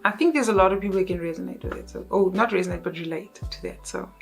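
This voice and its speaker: South African woman, thirties, chatty vlogger, mid-pitched voice, soft music underneath. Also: fast, gravelly. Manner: chatty, self-correcting, upbeat. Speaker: YouTuber. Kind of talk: casual vlog chat.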